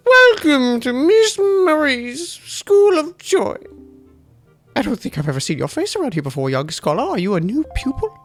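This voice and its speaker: A man voicing a flashy female schoolteacher from a game, reading her lines in a high, theatrical, sing-song voice. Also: big pitch swings, moderate pace, fairly bright tone, faint music behind, heavily acted. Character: flashy middle-aged female schoolteacher, sweet and pleading. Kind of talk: reading game dialogue aloud in character.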